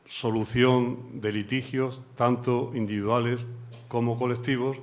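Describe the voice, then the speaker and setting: Slow, measured Spanish man lecturing. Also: medium pitch, flat delivery. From Spain. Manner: measured, courteous, with pauses. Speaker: guest lecturer, judge. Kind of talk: formal academic talk.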